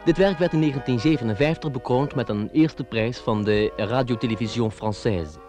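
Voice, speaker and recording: A man's voice: medium pitch, moderate pace. A man giving a formal, scripted announcement for a radio play. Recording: music behind announcement. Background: music.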